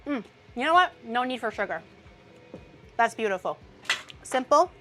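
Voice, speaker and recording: A woman's voice: a little high, sing-song, moderate pace, fairly bright tone, faint music behind. A woman VTuber chatting casually while cooking in her home kitchen, blunt and profane, murmuring as she tastes the food. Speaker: streamer and VTuber. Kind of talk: casual cooking livestream chat.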